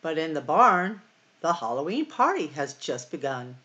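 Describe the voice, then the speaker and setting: A middle-aged woman hosting a library storytime, reading picture books aloud in a deliberate, expressive way for young children. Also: low-pitched, lo-fi sound. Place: small room at home or office, indoors.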